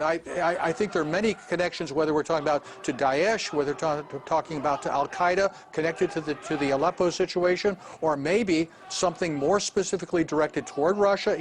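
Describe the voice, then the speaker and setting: A man, a former diplomat, giving slow, deliberate analysis in a live remote interview, often restarting his sentences. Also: fairly high pitch. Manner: measured, deliberate, with frequent restarts. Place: remote studio.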